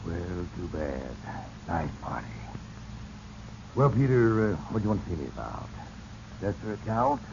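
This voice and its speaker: Smug executive, lowish creaky voice, condescending, muffled vintage radio recording. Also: male voice, big pitch swings, noisy background, exaggerated voice. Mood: casual, guarded. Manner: condescending, measured. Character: smug, patronizing male company boss, quietly threatening. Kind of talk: 1940s radio drama acting.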